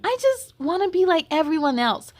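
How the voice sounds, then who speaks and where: A little first-grade girl from a picture book, acted out by a woman reader. Her voice is high and plaintive, self-conscious, pleading to be like the other kids. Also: very animated, moderate pace. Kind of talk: storybook character voice.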